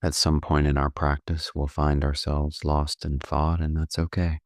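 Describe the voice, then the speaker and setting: A man leading a guided meditation as a coach, calm and unhurried, gently instructive with long pauses. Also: rumbling low, dry recording, clean studio sound.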